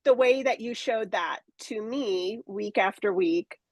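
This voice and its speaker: A woman's voice: medium pitch, a little mellow, no echo. Reflective woman in her forties, dry sound. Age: 40s. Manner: reflective, halting, many fillers and restarts. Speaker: coaching client. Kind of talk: candid conversational interview.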